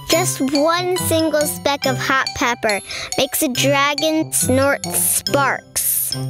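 Really high voiced woman doing kids storytime, slow expressive reading, music in the background. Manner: expressive, addressing young listeners. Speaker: children's storytime reader. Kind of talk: children's picture book read-aloud.

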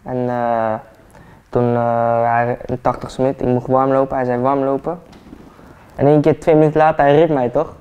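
Flat-voiced young man in a barber chair. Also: mid-range voice, moderate pace, clear, resonant tone, lo-fi sound. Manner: relaxed, hesitant with many fillers. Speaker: football player, interview guest. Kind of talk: casual interview chat.

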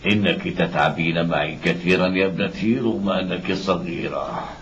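An actor playing an anxious, loving blind father in a cartoon dub, with a gentle, pleading manner. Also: deep voice, moderate pace. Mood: tender, weary. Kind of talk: Arabic cartoon dub acting. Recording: dull, noisy old cartoon soundtrack.

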